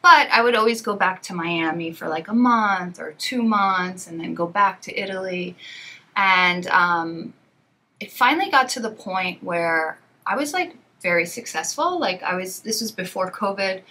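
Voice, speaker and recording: A woman's voice: mid-range voice, expressive, normal speed, bright, forceful tone. A woman yoga teacher, a native English speaker, chatting as a podcast guest and telling long rambling stories full of "like" and "you know". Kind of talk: casual podcast conversation.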